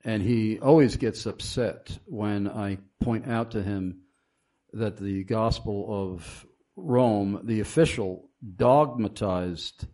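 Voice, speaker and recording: A man's voice: lowish voice, slow pace, dark tone. A man hosting a radio talk show, reading listener questions and speaking emphatically.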